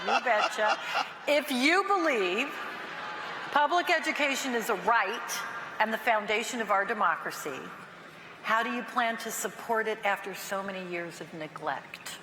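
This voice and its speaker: A woman moderating a forum, reading out audience questions in a slow, playful way. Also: on the high side. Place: large hall with audience. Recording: echoey hall with crowd noise.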